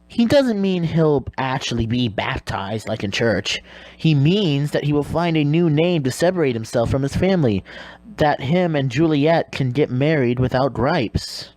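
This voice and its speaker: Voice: mid-range voice, animated, normal speed, a little mellow, no room sound, rough recording. A segment host delivering scripted narration in a comic explainer style.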